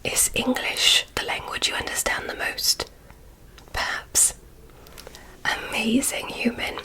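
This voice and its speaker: A woman playing a polite, curious female alien medical examiner in an ASMR roleplay, in a soft breathy whisper with formal, stilted phrasing. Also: natural voice, rough recording. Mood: courteous, curious. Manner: formal, oddly stilted, deliberate, reassuring.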